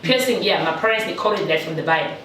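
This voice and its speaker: A woman from South Africa, a teacher, chatting casually in an interview with a lively manner and frequent laughs. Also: lowish voice, fast, crowd noise. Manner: lively, laughs often, animated when joking. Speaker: guest, teacher in China. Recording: echoey room, distant mic, faint noise.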